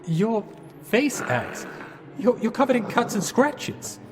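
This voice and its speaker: An actor playing a bumbling, polite young English gentleman in an audio drama, hesitant and bewildered. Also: high voice, big pitch swings, takes it slowly, full character voice. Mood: uneasy. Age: young adult. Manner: hesitant, genteel, flustered. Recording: long hall reverb, music behind.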